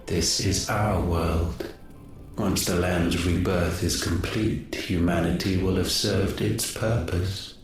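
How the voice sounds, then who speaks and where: An ancient, godlike being from a video game speaks with cold contempt in a very low voice. Each solemn, imperious pronouncement comes extremely slowly and rings with heavy echo, with music behind. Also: male voice, steady tone. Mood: cold disdain. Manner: solemn, haughty, measured archaic pronouncements. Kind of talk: dramatic video game voice acting. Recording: heavily echoing voice under loud music.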